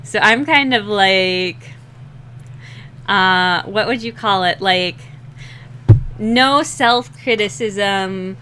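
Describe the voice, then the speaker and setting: A young woman with a slightly rough, scratchy voice, rambling and laughing at herself in a casual chat while drawing, short on sleep. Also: mid-range voice, extremely slow, pauses often, sharp tone. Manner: rambling, giggly, self-deprecating. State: sleep-deprived. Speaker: digital artist streaming a drawing session.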